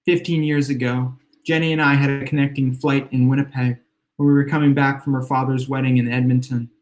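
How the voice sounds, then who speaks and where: A man with a slightly hoarse, worn voice reads his own literary fiction in a measured, reflective way. His pitch sits in an ordinary middle range, and he lets the first-person lines unfold at an unhurried pace. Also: little pitch movement, darkish tone. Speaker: author. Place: home room with exposed brick.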